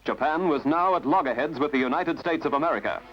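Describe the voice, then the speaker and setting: Lively, high-pitched male newsreel narrator. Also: quick pace. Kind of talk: scripted documentary newsreel narration. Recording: dull lo-fi archival film soundtrack with music. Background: prominent music.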